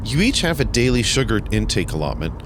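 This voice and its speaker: An actor playing a rigid, precise trip planner who talks in clipped, literal statements, in a radio drama. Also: male voice, lowish voice, a little fast. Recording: steady road noise behind. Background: car ambience.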